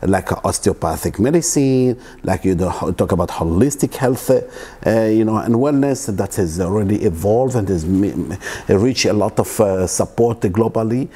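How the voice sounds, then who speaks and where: A middle-aged man from East Africa giving an informal wellness lecture at home, halting, with many restarts and fillers. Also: on the low side, warm tone, husky. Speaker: wellness presenter. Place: living room at home, indoors.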